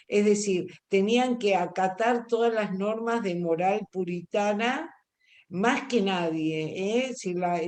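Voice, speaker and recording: A woman leads an online class, explaining patiently in a mid-range voice. She pauses now and then to ask if the students understand. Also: mid-range voice, darkish tone. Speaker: teacher.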